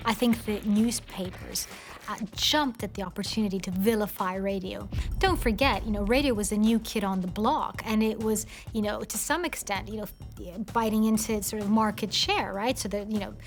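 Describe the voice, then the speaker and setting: A woman's voice: mid-range voice, moderate pace, soft background music. A woman giving informal, conversational commentary in a documentary interview, checking in with the listener.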